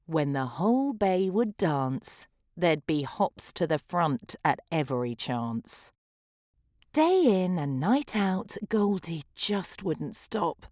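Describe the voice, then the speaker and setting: A woman reading a rhyming picture book aloud with expressive, theatrical rhythm. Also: sits low, dry recording, clean studio sound. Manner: theatrical, rhythmic delivery of rhyme. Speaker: children's story reader.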